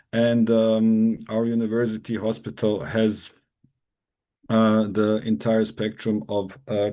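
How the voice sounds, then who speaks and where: A man from Bosnia, a neurosurgeon giving a formal academic lecture over a video call, measured and pausing often with "uh". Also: medium pitch, mellow. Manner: measured, formal, with frequent "uh" pauses. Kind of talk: academic presentation.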